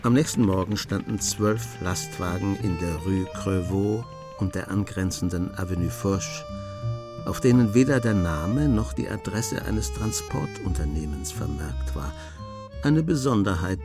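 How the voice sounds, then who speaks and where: A man narrating a German radio play as scripted storytelling, in a measured manner. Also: deep voice, animated. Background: music.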